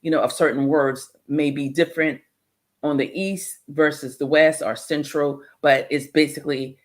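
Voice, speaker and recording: A man presenting informal religious and historical commentary, explaining in a rambling, halting way. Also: fairly high pitch, steady tone.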